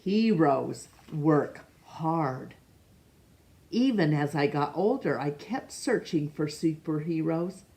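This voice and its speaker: A woman's voice: low-pitched, lively intonation. A woman reading a picture book aloud to children, stopping to ask the listener questions and now and then rereading a name. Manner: slow, gentle, asks the listener questions; stumbles over names and rereads them. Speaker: storytime reader.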